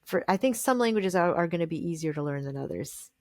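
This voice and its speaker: A woman's voice: on the low side, expressive, fast, darkish tone, dry recording, studio quality. A woman being interviewed as a guest musician. She talks in a thoughtful, meandering way, with many fillers and restarts. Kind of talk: casual interview conversation.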